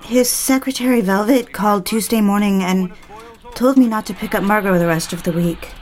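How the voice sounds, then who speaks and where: A woman's voice: medium pitch, normal speed, soft background music, close to an everyday voice. An actress playing an anxious, apologetic woman in an audio drama, speaking in a hesitant, urgent way. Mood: apologetic, uneasy. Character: anxious, apologetic, pleading young woman, Andrea.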